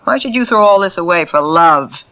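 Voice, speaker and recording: An actress playing a cold, manipulative woman medium in a 1940s radio drama, icy and commanding, her voice dropping to hushed tones. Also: lowish voice, animated, normal speed. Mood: taunting. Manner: icy, taunting, swings between sharp and hushed. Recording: old radio recording.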